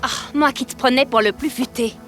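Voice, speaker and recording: A woman voicing a spirited, teasing girl from the hero's team in an animated cartoon. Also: high-pitched, a little fast. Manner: teasing, exclamatory. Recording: loud background music.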